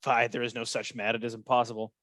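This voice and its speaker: A man playing a smooth-talking, scheming soldier in a Shakespearean radio play, persuasive and placating. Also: mid-range voice, flat delivery, fast, fairly bright tone, dry recording, clean studio sound, natural voice. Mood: consoling.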